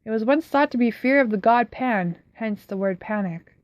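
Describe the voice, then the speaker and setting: A woman's voice: on the high side, normal speed, no echo, close to an everyday voice. Uncle Clement, genial and firm, read by a woman. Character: genial but firm middle-aged uncle. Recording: slightly dull, dry recording.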